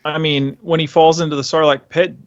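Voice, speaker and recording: Male podcast co-host, slightly high voice, informal rambling delivery, dry and close sound. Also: flat delivery, a little fast, no room sound, muffled recording. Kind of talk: casual fan podcast chat.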